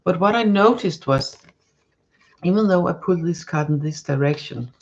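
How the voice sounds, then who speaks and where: A woman's voice: fairly low pitch, expressive, normal speed, a little mellow, highs cut off, slight background noise. Woman reading tarot cards. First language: Danish. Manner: searching for words, many restarts and fillers. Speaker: tarot card reader. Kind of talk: live-stream tarot reading.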